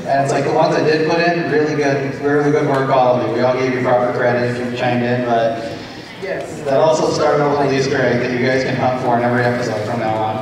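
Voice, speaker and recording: A man talking casually as a convention panelist, rambling and halting as he answers questions. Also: medium pitch, fairly even intonation. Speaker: panelist, writer and sound editor. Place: large convention panel room with audience. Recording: echoey hall from far off, loud crowd.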